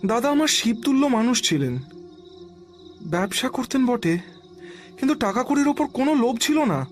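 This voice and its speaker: An actor playing a grieving younger brother in an audio drama, pleading in a tearful, choked, reverent way. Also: male voice, very high-pitched, very animated, normal speed. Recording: music behind the voice.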